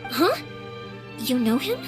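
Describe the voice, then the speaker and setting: A woman voicing a spirited, caring young woman in an anime-style game, with an expressive, earnest delivery. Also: high voice, takes it slowly, music in the background, full character voice. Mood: hesitant. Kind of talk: animated anime game dialogue.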